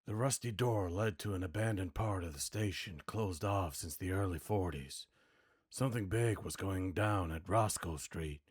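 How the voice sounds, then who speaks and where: A man's voice: sits low, normal speed, slightly rough, no room sound, studio quality. A man voicing a weary, grim hardboiled noir gunman who narrates his own story in terse, brooding lines, as in a video game. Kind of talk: noir game monologue, voice acting practice.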